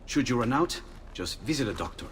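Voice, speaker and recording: An actor voicing a cheerful, curious inventor in a video game, speaking in an eager, chatty way. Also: male voice, medium pitch, very animated, brisk, a little hiss or hum, close to an everyday voice. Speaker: inventor friend.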